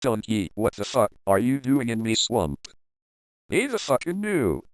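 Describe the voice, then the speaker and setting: A man puts on a crude, suspicious ogre husband. The delivery swings widely in pitch and sounds blustery, recorded dry and close. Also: wildly swooping pitch, a little slow, clear, resonant tone, dry recording. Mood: indignant.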